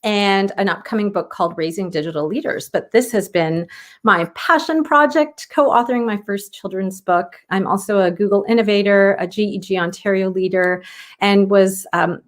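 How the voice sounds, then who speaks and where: A woman, an author and educator, enthusiastically introducing a virtual read-aloud in a casual way. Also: mid-range voice.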